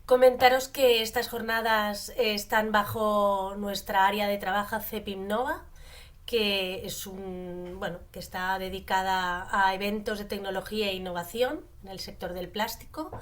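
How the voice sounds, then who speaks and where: Hesitant woman opening a webinar. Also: mid-range voice. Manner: hesitant, many fillers. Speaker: webinar host. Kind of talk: introducing a webinar.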